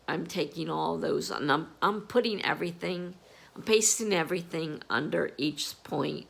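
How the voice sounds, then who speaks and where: A woman with a froggy, hoarse voice chatting casually about her writing plans, rambling with plenty of fillers. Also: low voice, a bit bright. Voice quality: gravelly. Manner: slow, rambling, with many fillers. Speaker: writer vlogging about novel prep. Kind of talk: casual chat to camera. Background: air conditioner humming.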